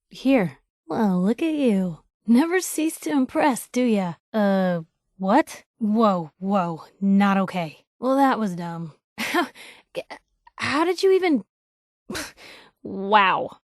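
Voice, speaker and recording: An actress playing a feisty, sarcastic young woman reporter in a video game, with a brash, conversational and expressive delivery. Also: mid-range voice, no room sound, studio quality. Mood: casual, wry, then curt, reacting. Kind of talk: video game companion voice lines.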